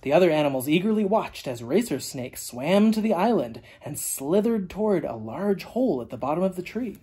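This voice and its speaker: A storyteller reading a children's book aloud in an animated, deliberate way with dramatic emphasis. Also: mid-range voice, husky. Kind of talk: expressive read-aloud narration for children.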